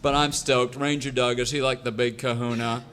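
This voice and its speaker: An actor playing a dim, excitable young surfer cowboy with a Californian surfer accent and drawling slang, in a live comedy radio show. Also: male voice, mid-range voice, normal speed, lightly acted. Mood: stoked, excited. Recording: live stage recording with audience, noisy.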